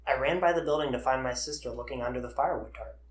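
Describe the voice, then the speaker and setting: A man playing an earnest, haunted man in his early twenties who tells his eerie story haltingly in an audio drama. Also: mid-range voice, close to an everyday voice. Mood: uneasy, searching. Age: young adult. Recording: roomy, echoey voice recording.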